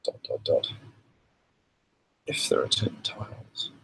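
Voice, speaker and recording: A man with a cold and a slightly rough voice, hosting a casual live demo and answering viewer questions in a relaxed, chatty way. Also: slow pace, low-quality recording. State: has a cold, voice a bit rough. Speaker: software developer hosting a live stream.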